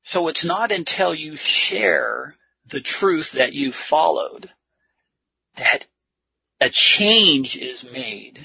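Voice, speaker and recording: A man preaching and teaching a Bible lesson, speaking in deliberate, earnest short phrases with a husky, gravelly voice. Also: a little high, expressive, no echo. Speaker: preacher leading a church lesson. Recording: muffled lo-fi audio.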